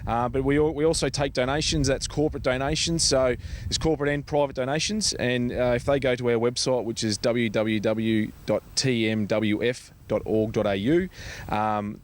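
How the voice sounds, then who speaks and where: A man with an Australian accent answering interview questions in a halting, conversational way, often repeating words. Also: medium pitch, fairly even intonation, a little hiss or hum. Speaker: charity foundation representative being interviewed.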